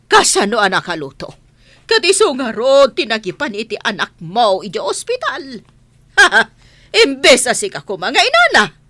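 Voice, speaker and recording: A woman's voice: a little high, wildly swooping pitch, a little slow, pushed and bright, dry recording, exaggerated voice. An actress playing a reproachful, worried grandmother in a radio drama, speaking emphatically. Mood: scolding. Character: scolding, worried grandmother of a sick child.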